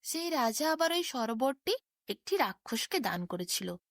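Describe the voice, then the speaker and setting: Female fairy-tale narrator: higher-pitched voice, very lively melody, scripted bedtime storytelling. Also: normal speed, no echo, clean studio sound.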